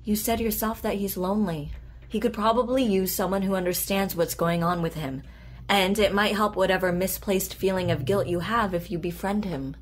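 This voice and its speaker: An actress playing a calm, patient therapist in an audio drama, composed and deliberate in her delivery. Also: mid-range voice, a little hiss or hum, lightly acted. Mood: firm, encouraging. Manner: composed, deliberate, clinical, reassuring. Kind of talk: naturalistic audio drama acting.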